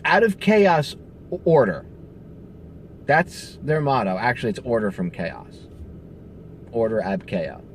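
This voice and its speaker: A man's voice: medium pitch, expressive, a little slow, long pauses, a little hiss or hum. A man ranting in profane, sarcastic political commentary, hammering points with heavy emphasis.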